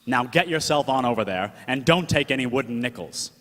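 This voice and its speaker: A man's voice: mid-range voice, animated, a little fast, a bit bright, a little hiss or hum, lightly acted. A man acting a slangy, fond and protective 1940s gumshoe in a radio drama. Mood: fond, urging. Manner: slangy wise-guy talk. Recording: echoey hall reverb.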